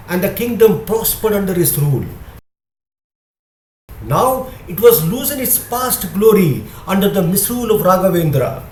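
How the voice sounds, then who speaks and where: High-pitched, echoey male storyteller. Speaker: storyteller, narrator. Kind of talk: scripted moral story narration. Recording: echoey room with faint noise.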